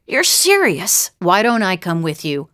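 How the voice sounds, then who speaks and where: Wry teasing fighter woman from a fantasy game, really animated intonation, dry studio sound. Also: on the low side, measured pace, no echo. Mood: wry, friendly. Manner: wry, teasing, conversational delivery. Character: bold, teasing, haunted female wandering gift bearer and fighter. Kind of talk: fantasy video game character dialogue.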